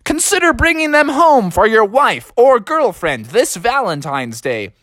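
Man playing a smug, greedy radio pitchman. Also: big pitch swings, bright, forceful tone, dry recording, studio quality, heavily acted. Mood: smug, gleeful. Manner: salesman's patter, drawn-out announcing.

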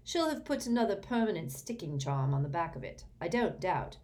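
A woman's voice: fairly low pitch, sing-song, brisk, a little mellow. A woman reading aloud and voicing a cold, irritable grown man who speaks curtly and with disdain. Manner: curt, snapping, disdainful.

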